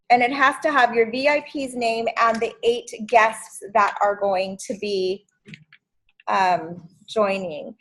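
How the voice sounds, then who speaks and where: A woman's voice: fairly high pitch, low-quality recording. A woman in her fifties hosting a sales training video call, chatty and encouraging. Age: 50s. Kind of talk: casual video call meeting.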